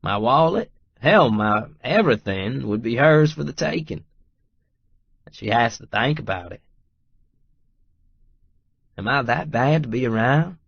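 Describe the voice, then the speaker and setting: A man's voice: medium pitch, lively intonation, measured pace, gravelly, long pauses, breathy edge, dry recording, muffled recording, heavily acted. An actor narrating a romance audiobook as a stubborn, wry, lovestruck Texas oilman. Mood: frustrated, earnest. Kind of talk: first-person audiobook narration with dialogue.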